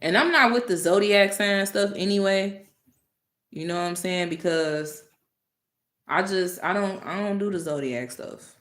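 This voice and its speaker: A woman hosting a live stream, talking casually and directly to her chat, animated and exasperated with commenters. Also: medium pitch, a little slow, reverberant.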